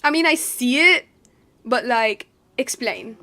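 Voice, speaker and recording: Slightly high-voiced woman streaming a game. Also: animated, slow, noisy background. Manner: rambling, joking, repeats phrases. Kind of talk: casual playthrough commentary.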